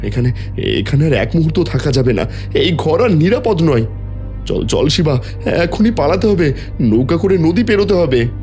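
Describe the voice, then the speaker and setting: A man's voice: fairly high pitch, big pitch swings, moderate pace, darkish tone, faint music behind, pitched above the performer's own voice. A man voicing an anxious, devoted uncle who guards an orphaned child in an audio story, emotional and protective. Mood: frightened urgency.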